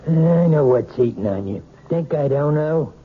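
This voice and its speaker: A man's voice: a little high, animated, unhurried, husky. An actor playing a bullying, short-tempered husband in a 1970s radio drama, blunt and scornful as he badgers. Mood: scornful, angry. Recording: noisy, dull old radio broadcast.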